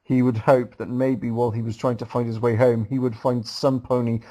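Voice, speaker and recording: A man's voice: medium pitch, level tone, normal speed, mellow. A man reading a story aloud casually as host, breaking off to make joking, mocking comments. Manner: breaks off to mock the writing.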